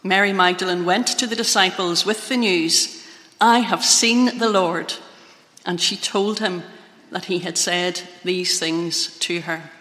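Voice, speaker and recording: A woman's voice: on the low side, unhurried, fairly bright tone. A woman reading scripture aloud and speaking to a congregation as part of a church service. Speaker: Bible reader introducing the preacher. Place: large reverberant church hall. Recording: long hall reverb.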